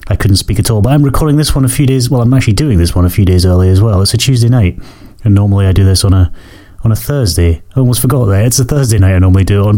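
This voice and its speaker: Croaky guy with a cold doing his podcast, low mellow voice, talks fast and keeps correcting himself. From Scotland. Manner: rambling, self-correcting, apologetic. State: hoarse from a cold. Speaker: podcast host. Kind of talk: casual solo podcast chat.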